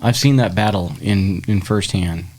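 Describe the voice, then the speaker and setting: A man's voice: low voice, normal speed, darkish tone, rough recording. A man in a casual podcast chat, building his point with repeated restarts.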